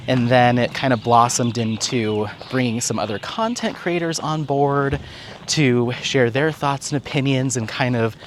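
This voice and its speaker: A man's voice: medium pitch, slight background noise. A man chatting casually and conversationally in a rambling, upbeat walk-and-talk vlog update, recorded outdoors. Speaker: craft vlogger and content creator.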